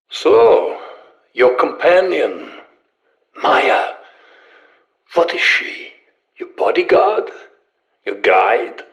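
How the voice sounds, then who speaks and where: Fussy, high-pitched aging German scientist. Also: male voice, slightly rough, dry recording, heavily acted. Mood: indignant, scornful. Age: older. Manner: formal, pedantic, theatrical phrasing. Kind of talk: video game character voice lines.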